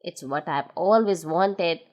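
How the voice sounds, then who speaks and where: A woman's voice: slow, a little mellow. A woman playing a firm old gnome. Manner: lower, firm and emphatic. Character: older gnome, fussy and set in his ways.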